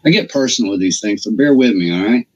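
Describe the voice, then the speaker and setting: Casting: husky-voiced interview host, rambling and halting, dull lo-fi sound. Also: male voice, fairly low pitch, lively intonation. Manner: rambling, restarts, filler-heavy questions. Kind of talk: casual interview. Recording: dull, lo-fi audio.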